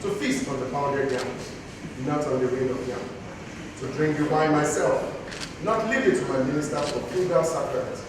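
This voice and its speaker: A man plays a defiant, pompous deposed king declaiming on stage in a high voice. He is heard from far off in a very echoey hall. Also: moderate pace, background noise, close to an everyday voice. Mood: grand, defiant. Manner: oratorical, pompous. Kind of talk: declamatory theatrical stage monologue. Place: indoor theatre stage.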